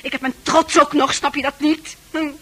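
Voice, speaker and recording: An actress in a Dutch radio play playing a scornful, proud prostitute with a brash, mocking manner. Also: female voice, high-pitched, level tone, moderate pace, pushed and bright. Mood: angry, proud. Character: hard, scornful prostitute, proud, wounded underneath.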